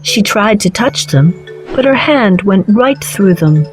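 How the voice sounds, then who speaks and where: A woman storyteller narrating a children's fairy tale at an unhurried, deliberate pace, with a mid-pitched and even voice. Her reading is clear and steady, and faint music plays underneath.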